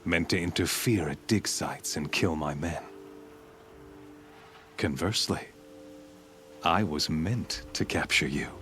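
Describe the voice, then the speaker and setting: An actor playing a menacing, fanatical warrior of a sun cult in a video game, speaking in grandiose, ominous oratory. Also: male voice, deep voice, fairly bright tone, a little hiss or hum, full character voice. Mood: fervent, ominous. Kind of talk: dramatic video game acting.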